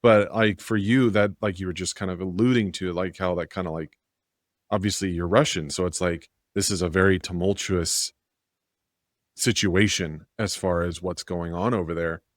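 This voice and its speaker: Very deep-voiced podcast host. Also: male voice, steady tone, moderate pace, no echo, clean studio sound. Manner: hesitant, heavy use of "like", apologetic. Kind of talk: casual interview conversation.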